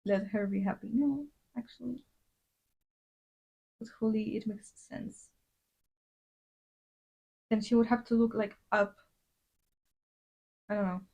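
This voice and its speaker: A young woman streamer from Latin America chats casually while she draws, rambling and restarting her sentences with plenty of fillers. Her voice is mellow and a little husky. The stream audio sounds dull and lo-fi. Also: medium pitch, steady tone, takes it slowly, long pauses. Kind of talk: casual livestream chat while drawing.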